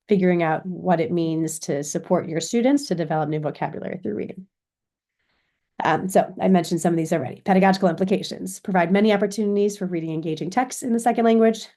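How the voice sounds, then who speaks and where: Female American lecturer, lowish pitch, informal explanatory delivery with frequent check-ins. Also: dark tone. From Chicago, United States. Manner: explanatory, often checks with "right?". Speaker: university lecturer in education.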